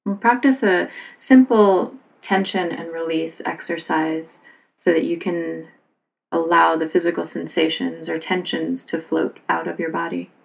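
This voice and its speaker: A woman leads a guided relaxation as a yoga instructor. Her voice sits on the low side with plenty of vocal fry, and her delivery is calm, unhurried and very even in pitch. She gives soothing, simple instructions. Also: poor audio.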